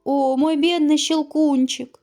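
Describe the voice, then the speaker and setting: A woman voicing a sweet, excitable little girl in a children's storybook, exclaiming with emotion. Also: high-pitched, slow pace, faint music behind, voice pushed up. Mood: pitying, distressed.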